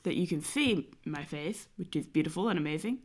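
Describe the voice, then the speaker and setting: A young woman talks through a drawing stream while sick, her voice nasal and blocked up from a cold. Her pitch sits a little low and she rambles loosely, leaning on fillers as she works. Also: expressive, a little fast, darkish tone. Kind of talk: casual chat while drawing.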